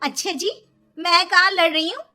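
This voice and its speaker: A woman's voice: very high-pitched, moderate pace, sharp tone, soft background music, full character voice. A woman voicing a sassy, quarrelsome young wife who talks back indignantly in a children's cartoon. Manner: snippy, indignant. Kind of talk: Hindi children's cartoon dialogue.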